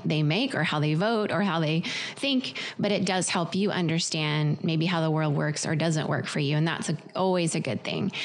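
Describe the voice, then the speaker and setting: A woman in her forties talks earnestly from her home office, her voice sitting a little low. She speaks close into a large desk microphone, now and then dropping in a soft laugh or a filler. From Venezuela. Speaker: guest speaker, former pastor. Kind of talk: giving tips to camera. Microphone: large desk mic close in front, earbuds.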